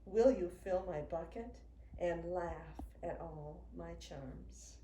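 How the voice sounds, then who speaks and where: A woman in her sixties, a children's author, reading a picture book aloud to young children in an expressive, sing-song way. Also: lowish voice, slow. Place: indoors in a home room with a wooden desk. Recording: roomy sound with faint noise.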